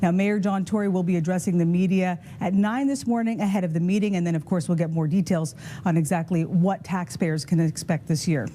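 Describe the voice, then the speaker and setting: A woman delivering a news report from a newsroom, clear and informative. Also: lowish voice, brisk, few pauses, mellow, slight background noise.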